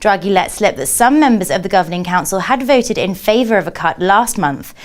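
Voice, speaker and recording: A woman's voice: mid-range voice, animated, brisk. A woman working as a television journalist, delivering scripted questions in a broadcast news interview.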